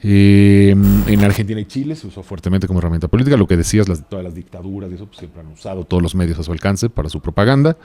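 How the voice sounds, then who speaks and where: A man hosting a podcast, talking casually and haltingly, thinking aloud and adding little tag questions. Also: low-pitched, mellow. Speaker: podcast host. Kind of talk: casual podcast conversation from notes.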